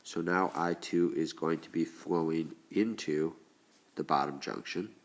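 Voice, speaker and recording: Male teacher, very low voice, congested, stuffed-up nose, slow step-by-step explaining. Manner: slow, deliberate explaining while writing. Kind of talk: recorded classroom lesson.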